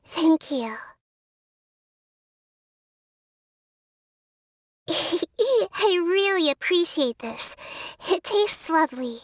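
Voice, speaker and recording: Childlike goddess, very high voice, gentle, curious, thoughtful, articulate, video game character, dry studio sound. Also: female voice, lively intonation, takes it slowly, no echo, full character voice. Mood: grateful. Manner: articulate, wondering, musing in gentle questions.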